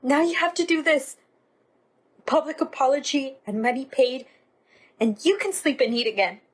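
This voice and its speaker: An actress as a grieving, righteous woman with a high voice. She delivers a stern, accusing stage monologue, bitter and unforgiving. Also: moderate pace, lightly acted. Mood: cold reproach.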